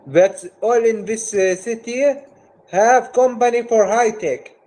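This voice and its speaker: Guy on video chat, high voice but soft and dark, short choppy english. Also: takes it slowly, low-quality recording. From India. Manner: short replies in broken English. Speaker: random video chat partner.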